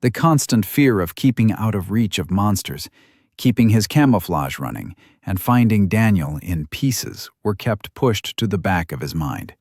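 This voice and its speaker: A man narrating a fantasy novel as an audiobook, in a steady, even storytelling manner. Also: lowish voice, measured pace, dry recording, studio quality.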